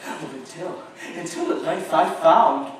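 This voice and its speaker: A man's voice: on the high side, a little slow, natural voice. A man performing a feverish, guilt-tormented murderer confessing, with stammering theatrical delivery, in a live gothic horror stage reading. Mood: anxious, faltering. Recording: distant, very echoey theater, faint noise.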